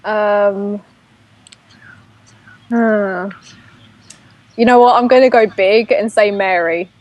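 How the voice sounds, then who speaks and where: A woman's voice: mid-range voice, takes it slowly. A woman co-hosting an online radio show, chatting casually about a TV series with frequent hesitations. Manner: hesitant, filler-heavy. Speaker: podcast co-host. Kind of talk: casual fan discussion.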